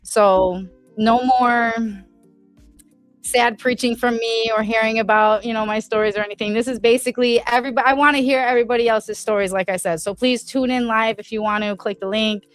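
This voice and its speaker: A woman's voice: on the high side, steady tone, a little fast, smooth, faint music behind. A woman hosting a casual podcast, asking loose, rambling questions full of fillers. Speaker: podcast host.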